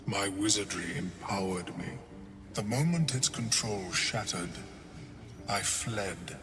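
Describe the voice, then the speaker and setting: Slow, low-voiced, scholarly mind flayer. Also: male voice, background noise. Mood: solemn, measured. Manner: measured, formal, precise. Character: scholarly, courteous mind flayer wizard, calm and detached. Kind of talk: video game character acting. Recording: long reverb, music behind.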